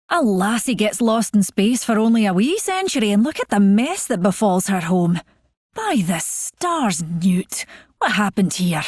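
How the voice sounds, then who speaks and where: A woman's voice: mid-range voice, a little mellow, no room sound, studio quality. An actress voicing a whimsical, nostalgic older woman scientist, who rambles fondly. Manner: rambling, wistful, chatty.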